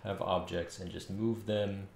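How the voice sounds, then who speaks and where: A man's voice: sits low, steady tone, unhurried, vocal fry, a little mellow. A man in his thirties muttering casually as he thinks aloud on a coding livestream from a small room at home. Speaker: game developer streaming. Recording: roomy, faint noise, keyboard clicks.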